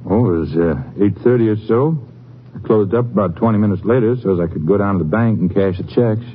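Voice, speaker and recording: An actor playing a folksy, evasive country feed dealer in a 1950s radio western, with a hedging, drawn-out drawl. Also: male voice, fairly low pitch, close to an everyday voice. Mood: evasive, hesitant. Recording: tinny, noisy old radio recording, hum.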